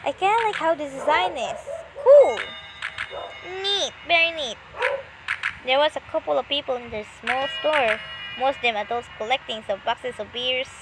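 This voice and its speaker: A woman with a high voice reads a visual novel aloud in let's play style. Her delivery is expressive, moving between narration and exclaimed asides. Loud game music plays behind her. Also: normal speed, low-quality recording. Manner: expressive, dramatized reading with exclamations.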